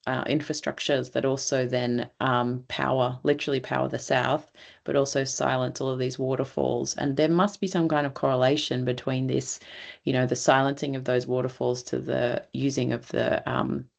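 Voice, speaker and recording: A woman's voice: rumbling low, level tone, moderate pace, gravelly. A woman joining a webinar by video call, thinking aloud in a reflective way with many fillers. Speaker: webinar participant. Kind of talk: webinar discussion.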